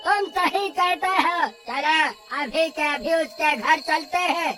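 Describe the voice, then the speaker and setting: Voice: high-pitched, slow pace, pushed and bright, soft background music, exaggerated voice. A performer voicing an alarmed, angry villager in a cartoon story, declaiming to a mob. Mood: angry, rallying.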